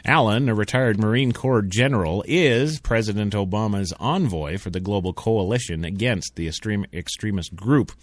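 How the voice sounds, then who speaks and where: A man's voice: lowish voice, expressive, normal speed, hardly any pauses, no echo. A man hosting a radio show, reading the news with joking asides and stumbling over hard names. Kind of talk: comic news commentary. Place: radio studio.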